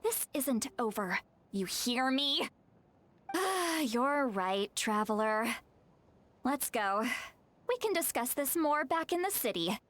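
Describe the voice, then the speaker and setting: A woman's voice: high voice, very animated, slow, a bit bright, heavily acted. A woman playing a feisty, exasperated granny in a video game, stern and dramatic as she scolds. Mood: grudging, firm.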